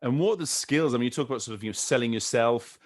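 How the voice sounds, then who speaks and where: Podcast host, man from a Nordic country, mid-range pitch, rambling questions with repeats. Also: fast. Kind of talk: podcast interview.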